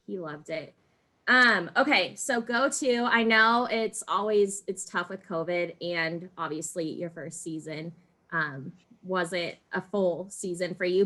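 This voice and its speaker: A woman's voice: on the low side, sharp tone. An American woman hosting a podcast, asking questions in a casual, informal, lightly teasing way. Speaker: podcast host, interviewer. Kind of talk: casual interview chat.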